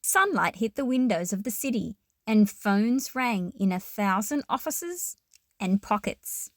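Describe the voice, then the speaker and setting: A woman's voice: medium pitch, expressive, measured pace, no room sound. A woman reading a children's picture book aloud expressively, with explanatory asides to young listeners.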